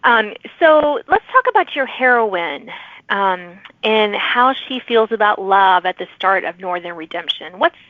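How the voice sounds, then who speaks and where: A woman's voice: mid-range voice, lively intonation, measured pace, no room sound. A woman hosting a podcast, interviewing guests in a conversational way. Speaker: podcast host. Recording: dry, slightly dull lo-fi audio.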